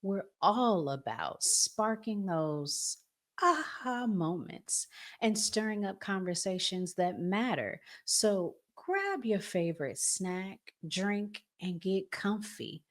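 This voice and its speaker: A woman's voice: medium pitch, animated, takes it slowly. An American woman hosting a show with casual video commentary, speaking emphatically and conversationally straight to her viewers. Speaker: host of a relationship reaction show.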